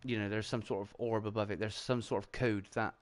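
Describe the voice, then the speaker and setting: A man's voice: lowish voice, steady tone, brisk, clear, resonant tone. Man, British accent, stream host, casual gaming chat, upbeat, reads out chat donations. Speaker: livestream host.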